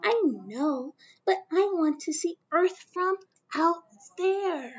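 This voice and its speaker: A woman's voice: extremely high pitch, expressive, takes it slowly, highs cut off, pitched above the performer's own voice, heavily acted. A woman voicing a young girl who dreams of space, eager and wondering, in a storybook reading.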